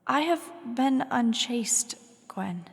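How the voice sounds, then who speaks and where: Fantasy drama casting: melancholy young noble bride, high pitched with gravelly edges, confessional delivery. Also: female voice, expressive, slow pace, long reverb tail, full character voice. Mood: despairing. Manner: formal, confessional, haunted. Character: troubled young highborn bride, fearful and melancholy. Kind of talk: fantasy audio drama acting.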